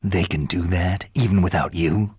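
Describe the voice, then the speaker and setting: A man playing a gruff, skeptical veteran soldier in a video game, terse and questioning, with a gravelly rasp. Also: deep voice, normal speed, darkish tone, dry recording, clean studio sound. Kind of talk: video game cutscene acting.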